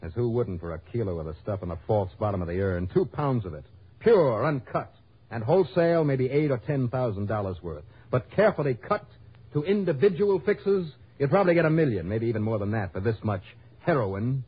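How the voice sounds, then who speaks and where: An actor playing a tough, sardonic American private investigator in a radio drama, with a punchy, wry delivery. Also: male voice, mid-range voice, lively intonation, a little fast, breathy edge. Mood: accusing, scornful. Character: tough, sardonic male private investigator narrating his case. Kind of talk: 1950s-60s radio detective drama acting, first-person narration. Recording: dull vintage radio recording with music.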